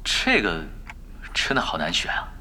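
An actor in a Mandarin audio drama playing a cool, sly young expert gamer who bargains in a nonchalant, teasing way. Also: male voice, low voice, wildly swooping pitch, a little slow, background noise, full character voice. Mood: sly haggling.

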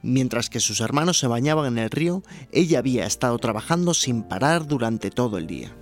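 A man with a mid-pitched voice reads a scripted children's tale as narrator. Music is clearly heard behind him. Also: animated, brisk.

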